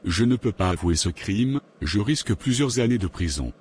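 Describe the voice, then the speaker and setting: A man's voice: fairly low pitch, animated, normal speed, darkish tone, a little hiss or hum, natural voice. A man voicing an anxious cartoon defendant. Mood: fearful. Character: remorseful male defendant, adult man.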